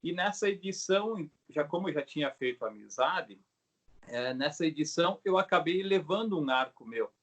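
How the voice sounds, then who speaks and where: A middle-aged Brazilian man with a slightly high voice talks casually as an interviewed craftsman. He explains things haltingly, restarting and adding little tag questions as he goes. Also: animated, fairly bright tone. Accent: Brazilian Portuguese. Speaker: craftsman, bow maker being interviewed. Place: video call.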